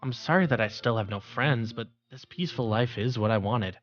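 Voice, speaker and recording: A man's voice: mid-range voice, fairly quick, no room sound, dull audio, natural voice. A man voicing an introverted, resigned teenage schoolboy in an anime-style dub, mild and hesitant. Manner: mild, hesitant, stammers when flustered. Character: introverted teenage schoolboy narrator, secretly capable, meek and resigned. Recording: background music under voice.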